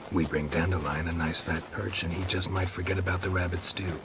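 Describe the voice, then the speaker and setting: An actor playing a seasoned monster hunter and fatherly mentor in a video game, gruff and laconic with dry understatement. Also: low voice, steady tone, fairly quick, noisy background. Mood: wry, calm. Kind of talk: cinematic video game acting.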